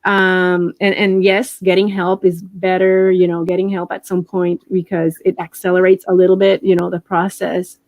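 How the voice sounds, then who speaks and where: A woman in her forties with a Puerto Rican accent, talking warmly and encouragingly as an interview guest, often restarting her sentences. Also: lowish voice, flat delivery, moderate pace, few pauses, no room sound. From Puerto Rico. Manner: warm, encouraging, with many restarts. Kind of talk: casual interview.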